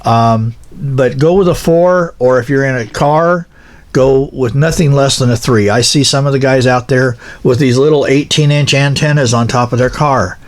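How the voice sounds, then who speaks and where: A man talking casually and instructionally in a folksy, rambling way, halting often and correcting himself as he explains practical matters. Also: mid-range voice. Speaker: pilot car operator giving equipment advice.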